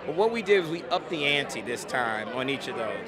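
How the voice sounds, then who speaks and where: A man's voice: medium pitch, big pitch swings, brisk. A middle-aged man, a film producer, giving an enthusiastic red carpet interview outdoors into a handheld mic. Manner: upbeat, enthusiastic. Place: outdoor red carpet premiere, noisy crowd. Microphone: handheld interview mic held close. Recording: loud crowd chatter behind.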